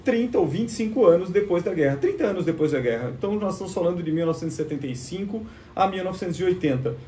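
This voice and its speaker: A man's voice: high voice, lively intonation, racing, dark tone, a little hiss or hum. A man with a Brazilian Portuguese accent presenting in an informal, didactic way, often asking himself questions and then answering them. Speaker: presenter of a show on vintage gadgets. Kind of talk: informal explanatory demonstration.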